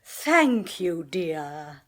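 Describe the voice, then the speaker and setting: An actress playing a bossy, imperious spinster who works as a medium, giving commands in a grand and impatient way in a radio play. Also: female voice, on the low side, big pitch swings, extremely slow, heavily acted. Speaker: medium and clairvoyant.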